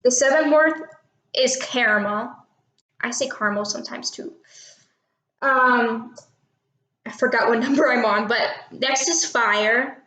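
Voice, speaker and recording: A young woman of eighteen from Texas, casual and a little unsure, filling gaps with ums as she answers questions for her viewers. Her voice sits a bit high. The room around her echoes. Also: measured pace, long pauses, bright, forceful tone. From Texas, United States. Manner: chatty and unsure, many ums and little laughs. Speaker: vlogger doing an accent tag. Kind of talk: casual talk to camera, reading questions and word list.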